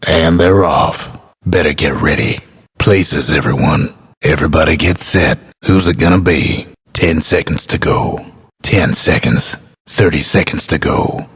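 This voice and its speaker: An actor playing a laconic, wry frontier narrator who announces a video game battle in a folksy, understated, gravelly voice. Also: male voice, extremely low pitch, a bit bright, heavily acted. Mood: steady anticipation. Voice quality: husky, gravelly. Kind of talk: scripted game announcer lines, drawling western narration.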